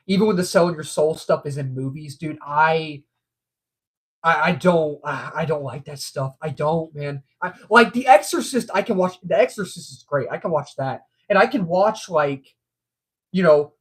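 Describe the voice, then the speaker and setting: A man's voice: a little high, breathy edge. A man hosting a casual movie news livestream, stuffed up from allergies, rambling and repeating himself with frequent ums.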